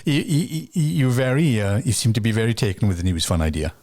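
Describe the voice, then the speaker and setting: A man hosts a relaxed interview in a fairly low voice, circling around his questions and restarting them as he goes. His pitch climbs when he finally puts a question. The sound is dry and close, with no room around it. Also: brisk, dry recording. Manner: rambling, restarts questions, rising animated pitch on questions. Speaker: interviewer, news site host. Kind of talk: conversational interview.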